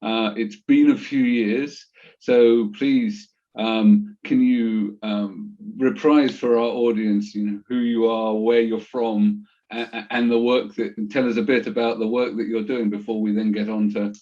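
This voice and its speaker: A man's voice: on the low side, level tone, unhurried, mellow. A man hosting an interview over a video call, with a hesitant manner and many ums. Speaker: host. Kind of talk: interview host. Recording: dull lo-fi call audio.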